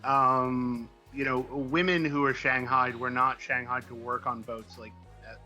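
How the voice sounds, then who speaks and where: A man's voice: mid-range voice, level tone, unhurried, bright, forceful tone, soft background music. An American man from the Midwest telling stories casually as an interview guest, in a hesitant, discursive way. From Midwestern United States. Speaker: comic book writer, interview guest. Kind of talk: casual conversational storytelling.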